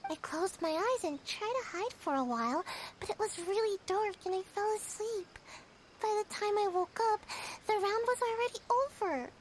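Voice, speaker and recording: A woman's voice: extremely high pitch, expressive, normal speed, a little hiss or hum, poor audio. A woman voicing a sleepy, timid little girl in a video game cutscene, earnest and subdued. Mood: drowsy, timid. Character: drowsy, timid little girl who dozes off easily. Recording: game music behind the voice.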